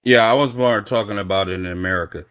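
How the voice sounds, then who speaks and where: A man's voice: fairly low pitch, quick pace, a bit bright, clear, resonant tone. A man on a live panel, arguing firmly and restating his earlier points. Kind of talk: casual live-stream debate.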